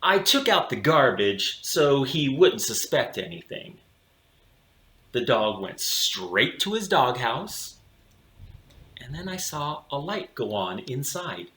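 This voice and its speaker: Slow, animated male storyteller in echoey room. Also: mid-range voice. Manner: theatrical, with deliberate dramatic pauses. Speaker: storybook reader for children. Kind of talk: expressive read-aloud of a children's picture book. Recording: roomy, echoing room sound.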